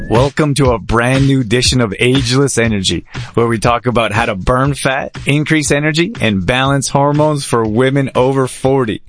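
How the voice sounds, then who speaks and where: A man reading a podcast introduction in a smooth, even, scripted way as host. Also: mid-range voice, normal speed, noisy background.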